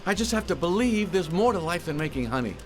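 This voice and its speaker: An actor playing a wry, restless young bee hero in a cartoon game, sardonic and questioning. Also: male voice, high-pitched, big pitch swings, fast talker, faint music behind. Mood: wry, frustrated. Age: young adult.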